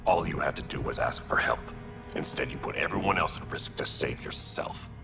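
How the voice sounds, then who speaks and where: An actor playing a stern alien security chief in a video game, measured and dry, with a gravelly voice. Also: male voice, over music. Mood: stern disapproval. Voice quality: deep, gravelly.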